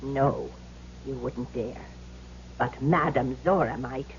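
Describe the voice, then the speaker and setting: An actress playing a skeptical, demanding wealthy widow in a vintage radio drama. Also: female voice, a bass voice, slow pace. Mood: doubtful. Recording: muffled, noisy old radio recording.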